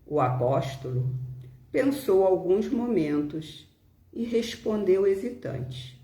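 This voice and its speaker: A woman with a Brazilian Portuguese accent leading a devotional reflection with prayer and reading, solemn and deliberate, pausing between short phrases. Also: medium pitch, big pitch swings, dark tone. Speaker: volunteer leader of a spiritist prayer live stream. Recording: roomy, echoing home live stream.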